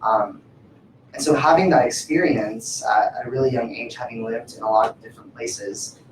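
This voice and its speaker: A man's voice: mid-range voice, moderate pace. Young man, hesitant, in an echoey room. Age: 20s. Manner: hesitant, many fillers and restarts. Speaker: panelist. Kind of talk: casual panel talk. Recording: echoey room from far off, faint noise.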